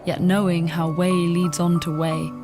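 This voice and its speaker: A woman reciting a poem with measured, deliberate phrasing. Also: lowish voice, level tone. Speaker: poetry reader. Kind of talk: poetry recitation. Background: music.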